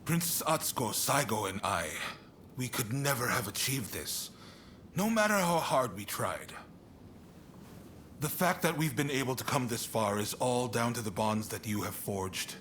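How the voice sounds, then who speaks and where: A man acting an affable, shrewd statesman in a video game cutscene, expressive and earnest but conversational. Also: medium pitch, a little slow, a little hiss or hum. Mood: grateful, sincere. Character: affable shogunate statesman, shrewd negotiator, warm toward his ally. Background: music.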